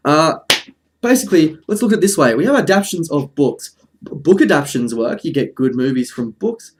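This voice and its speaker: A man's voice: a little high, animated, moderate pace. Dryly joking man hosting a video essay. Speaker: video host. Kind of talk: casual video essay commentary.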